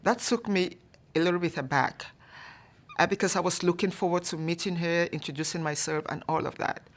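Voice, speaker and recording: A woman from Cameroon telling a personal story in a calm, measured way, often saying "you know". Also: lowish voice. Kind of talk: storytelling to an audience.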